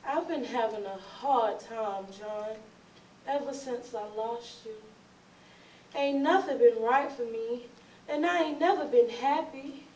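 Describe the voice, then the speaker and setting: A woman's voice: on the high side, slow pace, darkish tone. A woman playing a guarded, wistful, unhappy forty-year-old in a readers theatre drama, speaking hesitantly in folksy phrases. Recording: roomy, distant, noisy recording.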